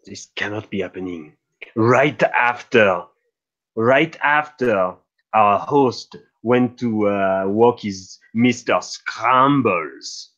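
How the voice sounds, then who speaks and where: A man's voice: lowish voice, slow pace, a bit bright. Guy doing in-character rpg stuff, a bit hesitant and proper, sound is slightly muffled. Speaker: player in a tabletop mystery game. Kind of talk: in-character improv role-play.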